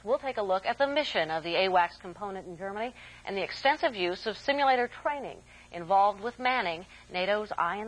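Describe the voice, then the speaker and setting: An American woman working as a news anchor, reading scripted news in a formal, measured announcer delivery. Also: mid-range voice, fairly bright tone, poor audio. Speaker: military network news anchor.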